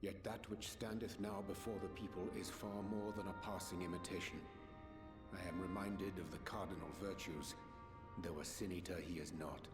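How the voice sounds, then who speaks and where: A man performing a learned sage from a fantasy game, grave and formal. His voice sits very low, and the archaic phrasing is carefully measured. It rings in a roomy space over a clear musical score. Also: steady tone, loud music behind the voice, natural voice. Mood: grave, deliberate. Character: solemn, learned male sage speaking archaic English. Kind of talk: theatrical fantasy game voice acting.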